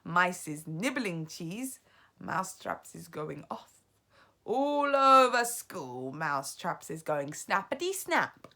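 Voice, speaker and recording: Woman playing a villainous old witch, storybook reading, loud gloating chant, lively swoops, bright tone. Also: on the low side, takes it slowly, heavily acted. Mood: shouting. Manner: shouting, chanting gleefully. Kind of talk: children's book read aloud.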